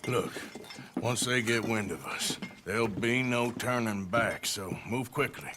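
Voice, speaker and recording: A gruff American outlaw played by a man speaks in a low, plain drawl with a sardonic edge. It is naturalistic western game dialogue, with clear noise behind the voice. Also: measured pace, close to an everyday voice. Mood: purposeful. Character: gruff, sardonic male outlaw gunslinger in his thirties.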